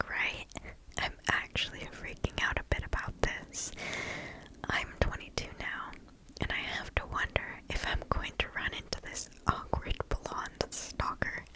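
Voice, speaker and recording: A woman hosting ASMR, reading and chatting in a soft, breathy, unvoiced whisper very close to the microphone. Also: rough recording. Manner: soft, slow, unhurried. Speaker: ASMR video host.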